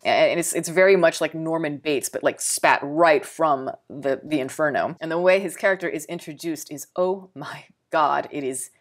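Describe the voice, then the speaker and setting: A Texan woman with a notably low voice talks through a film she admires. Her delivery is loose and unscripted, circling back on her words with fillers as she works out her thoughts. It sounds like a relaxed video review from a home creator. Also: normal speed, hardly any pauses. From Texas. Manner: rambling, thinking aloud with frequent fillers and repeated words. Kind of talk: casual spoken film review.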